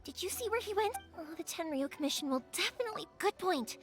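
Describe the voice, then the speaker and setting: A woman's voice: extremely high pitch, lively intonation, fast. An actress voicing a spirited, kind-hearted young woman in an anime-style video game. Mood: concerned, resolute. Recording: game music behind the voice.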